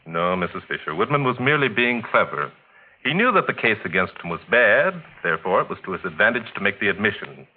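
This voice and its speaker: A suave amateur sleuth in a vintage radio mystery, low-voiced with sweeping intonation. He is formal, polite and coolly insinuating, heard through a tinny old broadcast. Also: big pitch swings, fast. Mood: stern, shrewd. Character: suave, shrewd gentleman amateur detective, coolly probing. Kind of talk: 1940s radio acting. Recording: scratchy 1940s radio, narrow tinny band.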